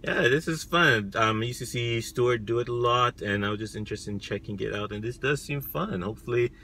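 A man's voice: mid-range voice, a little fast, background noise. A man in his thirties from Nigeria, a park guide, chatting easily in a parked car about trying ham radio. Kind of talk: casual chat. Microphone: camera on the dashboard.

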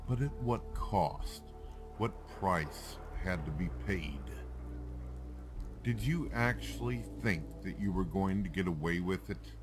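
A bitter older male scientist played by a man for a video game, with a low voice. He speaks slowly and gravely, full of accusation and vengeance, over music. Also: a bit bright, exaggerated voice. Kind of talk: video game character dialogue.

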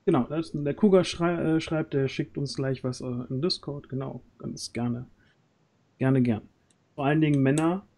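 A man's voice: on the high side, normal speed, a little mellow. A man, chatting casually as host of a livestream, often searching for words. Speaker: stream host and audio drama organiser. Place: small room at home, on a group video call.

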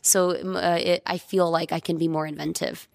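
Low, gravelly-voiced woman from Bosnia. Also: little pitch movement, moderate pace. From Bosnia and Herzegovina. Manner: reflective, searching for words with many fillers. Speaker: painter, interview guest. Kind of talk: casual interview conversation.

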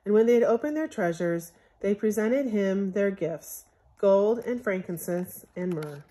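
A woman reading a Bible story aloud from a picture book in measured, scriptural phrasing, as a Sunday school storyteller. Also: medium pitch, animated, warm tone, low-quality recording.

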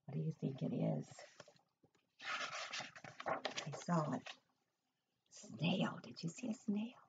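Slow, expressive older woman reading to children. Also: low voice, gravelly, dull audio, very noisy. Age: older, 60s or above. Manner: expressive, deliberate, asks simple questions. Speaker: preschool teacher. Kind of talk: picture-book story time for young children. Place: room at home, indoors.

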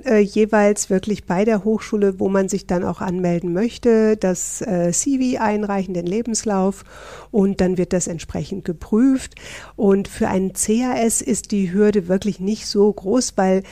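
A woman's voice: mid-range voice, warm tone, dry recording. A middle-aged woman from northern Germany, a professor, explaining things in a friendly interview with some fillers. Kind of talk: interview answers.

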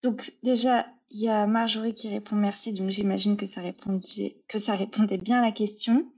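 A woman's voice: mid-range voice, fairly even intonation, moderate pace, darkish tone. A woman moderating an online meetup informally, reading out audience questions over a muffled video call. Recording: dull video-call audio.

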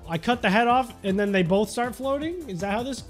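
A man's voice: very high-pitched, lively intonation, a little fast. A young adult man giving casual, joking gameplay commentary in a playful, exclamatory, mock-serious way. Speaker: gaming video host. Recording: music behind the voice.

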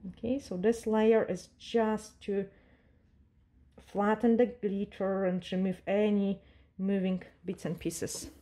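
Woman nail tech, mellow tone, calm step-by-step tutorial delivery with fillers. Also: mid-range voice, slow pace. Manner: calm, instructive, with frequent fillers and non-native grammar. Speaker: nail technician teaching a tutorial. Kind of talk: step-by-step tutorial narration while working. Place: nail salon desk.

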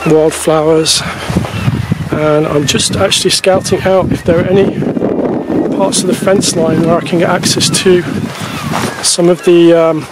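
A man in his forties talking casually to camera as a wildlife photography vlogger, explaining things in a halting way on an outdoor camera microphone. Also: fairly high pitch, level tone, very noisy. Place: outdoors on a grassy coastal clifftop. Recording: poor camera-mic sound outdoors, music behind.

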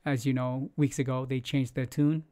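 A man's voice: mid-range voice, level tone, moderate pace, dark tone. Chatty man hosting market news. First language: not English. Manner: loose, chatty, many fillers and restarts. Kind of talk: casual screen-share news commentary.

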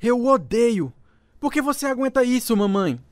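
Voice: mid-range voice, sing-song, normal speed, no echo, studio quality, natural voice. A performer playing an indignant, bossy young boy, the eldest son in a radio drama. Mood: bitter, indignant. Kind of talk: radio drama dub acting.

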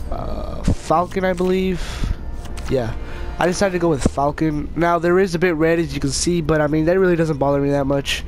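A man's voice: fairly high pitch, darkish tone. A man with a cold and a slight cough, casually narrating a video game character build in a rambling way, full of fillers and restarts. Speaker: YouTube gaming channel host. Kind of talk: casual gameplay commentary.

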